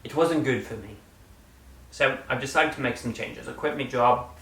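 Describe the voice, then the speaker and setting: A man's voice: mid-range voice, normal speed, creaky. Guy doing a chatty vlog update, kinda theatrical and jokey, sounds far from the mic in a roomy space w/ faint background hum. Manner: playful, theatrical, jumps between loud and quiet; slips into a put-on British-style accent at times. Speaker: channel host. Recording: roomy, distant mic, faint room noise.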